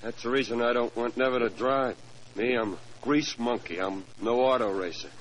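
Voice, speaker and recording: A man acts out a servile but crafty racetrack grease monkey from a 1940s radio crime play. His talk is slangy and unschooled, full of double negatives, at a middling pitch. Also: moderate pace, slight background noise, close to an everyday voice, rough recording. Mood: sly, insinuating. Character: sly, servile tough-talking race-track mechanic.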